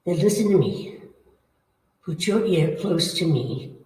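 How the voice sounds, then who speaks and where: A woman performs a sinister female spirit in a radio horror drama, coaxing and threatening with theatrical menace. The delivery is slow and widely swooping, heard from a distance in an echoey room. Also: on the low side, lively intonation, warm tone. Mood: menacing. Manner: slow, commanding, taunting, theatrical. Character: menacing, coaxing female ghost hungry for blood and life. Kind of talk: old-time radio horror acting. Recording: echoey room, far from the mic.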